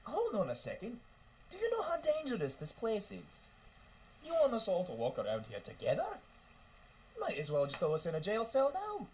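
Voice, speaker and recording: A woman's voice: mid-range voice, wildly swooping pitch, moderate pace, darkish tone, a little hiss or hum, poor audio. A woman voicing a cynical, wary adventurer in an audio drama, speaking sarcastically. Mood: alarmed. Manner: sarcastic, grumbling.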